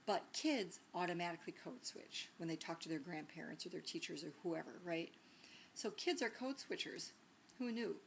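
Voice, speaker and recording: A woman's voice: fairly low pitch, moderate pace, creaky, a little hiss or hum. A woman teacher giving a recorded lecture in a conversational way, with many ums and restarts and frequent rhetorical questions.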